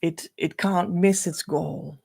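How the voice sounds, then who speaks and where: Woman, slow unhurried speech, gravelly fry, dry close sound, earnest encouraging coach. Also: lowish voice, steady tone, mellow, dry recording. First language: Dutch. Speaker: spiritual coach and card reader. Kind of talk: casual card-reading monologue.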